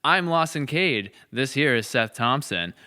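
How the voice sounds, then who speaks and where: A man's voice: mid-range voice, expressive, a little slow, pushed and bright. An American man hosting a podcast in casual, reflective conversation, emphatic and repeating phrases for weight. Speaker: podcast host and series creator.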